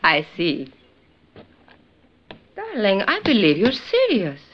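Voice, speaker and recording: A woman's voice: mid-range voice, wildly swooping pitch, slow pace, husky, exaggerated voice. An actress playing a proud, worldly, wry woman prisoner in a 1950s radio western, with a theatrical, teasing delivery. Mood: mocking. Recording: noisy, dull vintage radio recording.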